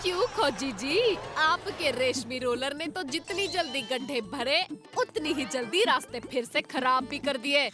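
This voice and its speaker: Bossy, high-voiced cartoon lady inspector. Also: lively intonation, unhurried, few pauses. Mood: annoyed, sarcastic. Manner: exaggerated, exclamatory, scolding. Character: bossy, theatrical female police inspector. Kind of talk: children's comedy cartoon dub. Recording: loud music under the voice.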